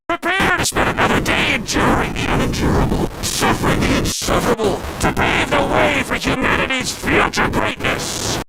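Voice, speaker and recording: A squeaky, scheming mascot creature. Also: male voice, very noisy, exaggerated voice. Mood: announcing. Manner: cheery announcer voice turning snide and callous. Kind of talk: video game voice lines. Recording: dry studio recording.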